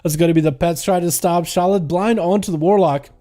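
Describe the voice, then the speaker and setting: Streamer caster, young male, slight Russian accent, high and mellow, excitable play-by-play, light music bed. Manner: fast excitable play-by-play with laughs. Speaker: streamer and game commentator. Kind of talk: live esports commentary.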